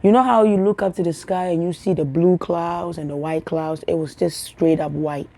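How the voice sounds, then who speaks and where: A young woman from Africa speaks to her followers as a spiritual healer, in a low, gravelly, mellow voice. She rambles and repeats words. She often sinks into hushed, soft tones, and she talks close to the camera. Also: fairly even intonation, moderate pace. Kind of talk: casual spiritual talk to camera.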